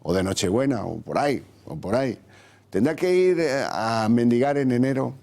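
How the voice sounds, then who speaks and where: A man's voice: mid-range voice, animated, moderate pace, creaky, mellow. A man hosting a televised political talk show, introducing guests and posing ironic, rhetorical questions. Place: TV studio.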